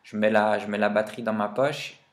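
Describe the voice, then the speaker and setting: A man's voice: on the low side, steady tone, a little fast. A man talking informally and with plenty of slang as he explains gear in a casual vlog review. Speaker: vlogger reviewing motorbike and quad gear.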